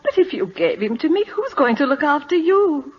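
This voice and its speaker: A woman's voice: high-pitched, big pitch swings, moderate pace. A woman playing a tender, proper Victorian schoolteacher and mother, earnest and emotional in an old radio drama. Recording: muffled vintage radio broadcast.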